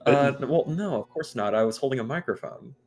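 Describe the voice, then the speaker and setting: A man chatting casually on a livestream in a halting, stammering way. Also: mid-range voice, lively intonation, gravelly, a little mellow. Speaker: livestream participant. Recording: music playing behind the voice.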